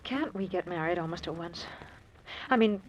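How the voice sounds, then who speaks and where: A woman's voice: fairly low pitch, expressive, normal speed, lightly acted. A woman playing an anxious conspiring wife in an old-time radio suspense drama. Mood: anxious, eager. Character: anxious woman conspiring against her husband with her lover. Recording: muffled, noisy old radio recording.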